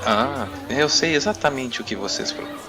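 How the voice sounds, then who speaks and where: A man's voice: on the high side, wildly swooping pitch, moderate pace, creaky, voice pushed up, heavily acted. Man doing a sultry, coaxing barmaid. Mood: coy. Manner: sensual, coaxing. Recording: loud music bed behind.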